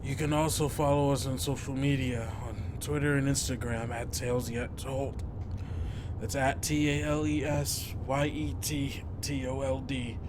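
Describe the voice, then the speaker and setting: A man hosting and narrating a tabletop podcast as game master, informal and halting but descriptive. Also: medium pitch, steady tone, background noise.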